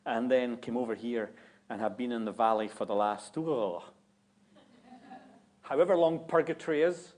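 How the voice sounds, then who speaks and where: A man's voice: mid-range voice, moderate pace, pauses often, darkish tone. A man with a Scottish accent, a guest preacher giving a joking, chatty sermon in a church. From Scotland. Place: church sanctuary.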